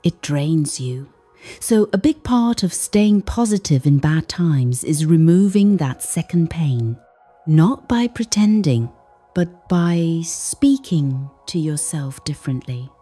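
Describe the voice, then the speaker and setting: A woman softly narrating a scripted bedtime meditation in a gentle, soothing, hushed way, in short measured phrases. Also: low-pitched, lively intonation, soft background music. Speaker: sleep podcast narrator.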